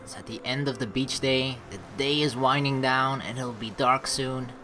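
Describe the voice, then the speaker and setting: A woman's voice: extremely low pitch, steady tone, normal speed, a bit bright, a little hiss or hum. A young woman doing playful, slangy let's play commentary and reading game narration aloud.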